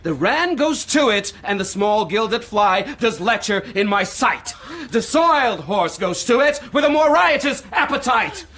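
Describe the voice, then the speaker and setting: An intense, anguished young man in a radio play. He speaks slowly and theatrically, with big swings of pitch and a volatile, poetic temper. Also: big pitch swings, fairly bright tone, heavily acted. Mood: ranting. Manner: declamatory, intense, archaic poetic phrasing. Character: anguished, volatile young man, a self-styled savage quoting Shakespeare. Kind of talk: theatrical radio drama acting.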